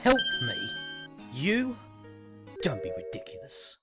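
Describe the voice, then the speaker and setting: An actor playing a proud, bitter young prosecutor, condescending and sardonic, in a dramatic fan audio drama. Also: male voice, medium pitch, huge pitch swings, slow pace, over loud music. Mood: scornful.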